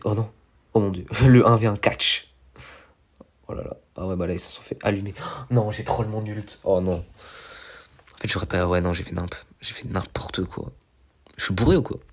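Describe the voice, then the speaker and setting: A young man with a cold gives fast, slangy commentary over a video game, quick to react and to laugh at his own mistakes. His voice sits a little low and sounds husky and stuffed up. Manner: excitable, self-mocking, slangy. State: has a cold, nasal voice. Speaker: gaming YouTuber. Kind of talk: casual gameplay commentary.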